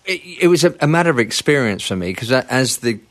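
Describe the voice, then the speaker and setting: A songwriter on a panel, telling his story in a relaxed, reflective way. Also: mid-range voice, fast. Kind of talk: casual panel chat.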